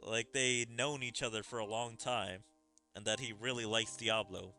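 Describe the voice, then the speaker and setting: Bright-voiced man doing casual game commentary. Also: fairly low pitch, fairly even intonation, normal speed, faint music behind. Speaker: gaming streamer.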